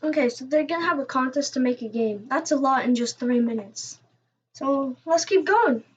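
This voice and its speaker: Female reaction host, high and husky, casual commentary, somewhat muffled lo-fi recording. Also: lively intonation, normal speed.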